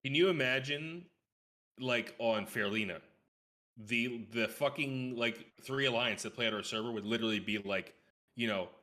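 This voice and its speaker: A man's voice: medium pitch, moderate pace, sharp tone. A man chatting as a guest on a gaming podcast, explaining his views informally and emphatically. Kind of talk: casual gaming podcast chat.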